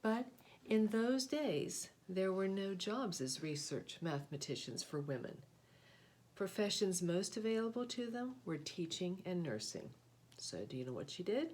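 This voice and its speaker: A woman reading a children's picture book aloud, with deliberate, expressive phrasing and short explanatory asides. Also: mid-range voice. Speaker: read-aloud host, storyteller.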